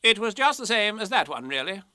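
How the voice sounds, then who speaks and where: A man's voice: sits high, sing-song, brisk, pushed and bright, dry recording, studio quality, pitched above the performer's own voice, heavily acted. A man voicing a self-important, impatient rabbit in a children's story, curt, emphatic and officious. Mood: insistent. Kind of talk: children's storybook character reading.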